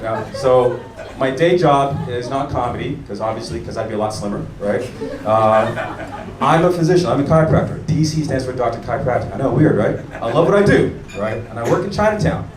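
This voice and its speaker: An American man doing a stand-up comedy set on a club stage, animated and chatting with the audience. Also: medium pitch, fairly quick. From United States. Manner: animated, mimics locals and chats with the audience. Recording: echoey room taped from far off, crowd noise.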